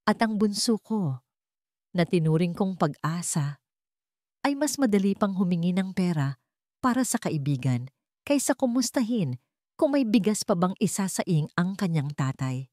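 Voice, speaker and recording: A woman's voice: on the low side, sing-song, measured pace, long pauses, darkish tone, no room sound, studio quality. A woman voicing a betrayed elderly widowed father in an audio story, speaking solemnly, with emotion and emphasis. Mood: hurt, reproachful. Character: betrayed elderly widowed father, dignified, wounded but resolute. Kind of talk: dramatic audio-story dialogue.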